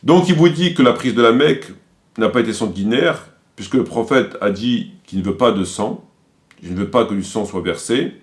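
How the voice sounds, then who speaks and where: A man's voice: fairly low pitch, normal speed. A man delivering critical commentary, quoting sources didactically and repeating his points for emphasis.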